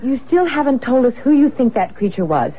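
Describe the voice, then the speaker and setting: A woman's voice: high voice, big pitch swings, brisk. A woman playing a nervous, suspicious wife in a radio mystery, speaking with urgent insistence. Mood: anxious, demanding. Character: frightened, suspicious wife in a haunted house. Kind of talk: radio mystery drama acting. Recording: tinny radio recording, faint noise.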